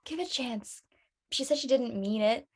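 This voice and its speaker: A woman's voice: a little high, normal speed, husky. A woman playing a responsible, peacemaking teenage schoolgirl in a drama read. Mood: mediating. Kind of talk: audio drama table read acting.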